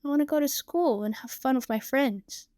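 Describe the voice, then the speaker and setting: A sweet little girl in a story, put on by a woman. Her voice is high and childlike, full of questions, with a very dry sound. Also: normal speed, warm tone, no echo, lightly acted. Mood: wistful.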